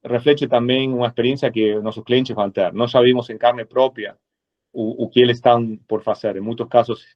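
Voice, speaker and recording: A man from Argentina with an Argentine Spanish accent, a lawyer speaking as a guest in a webinar, measured and full of hesitant fillers. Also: mid-range voice, steady tone. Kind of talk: webinar presentation. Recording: dull lo-fi webinar audio.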